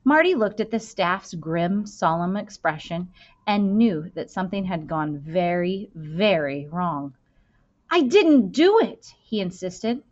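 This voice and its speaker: A woman in her thirties reading a children's novel aloud expressively, with deliberate phrasing and dramatic stresses. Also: on the low side. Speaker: school librarian reading aloud. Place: indoor room. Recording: dull, lo-fi webcam-style sound.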